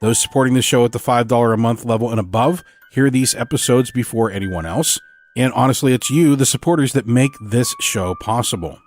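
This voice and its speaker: A man's voice: medium pitch, a little fast, darkish tone, slight background noise. A man speaking as host, giving a scripted introduction to an audio drama podcast. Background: music.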